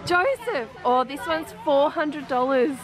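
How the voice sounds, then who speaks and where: A woman in her forties with an Australian accent, chatting excitedly and laughing as she films a shopping trip on a handheld camera inside a big warehouse store. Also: sits high, unhurried, crowd noise. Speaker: family vlogger. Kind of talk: casual vlog narration while shopping. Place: large warehouse store indoors.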